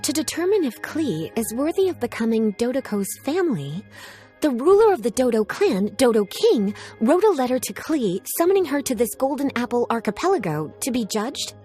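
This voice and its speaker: A woman's voice: high-pitched, big pitch swings, normal speed, warm tone, faint music behind. A woman voicing an elegant, teasing, doting librarian in a video game, with genteel and composed phrasing. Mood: composed, thoughtful. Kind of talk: video game character acting.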